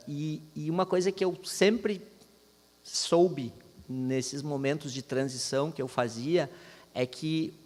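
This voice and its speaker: A man in his fifties, a veteran journalist, talking to university students in a thoughtful way, with many restarts and fillers. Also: fairly high pitch. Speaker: guest speaker, veteran journalist. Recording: long hall reverb.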